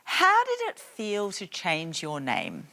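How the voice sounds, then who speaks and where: A woman's voice: fairly low pitch, wildly swooping pitch, slow, sharp tone. A woman hosting a studio discussion, asking guests direct questions. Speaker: host.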